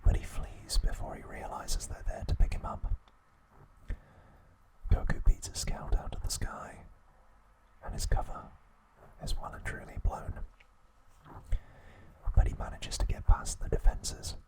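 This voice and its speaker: Whispering man, slow ASMR narration. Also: low-quality recording. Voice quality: breathy unvoiced whisper. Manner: slow, hushed, deliberate phrasing with pauses. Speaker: ASMR host.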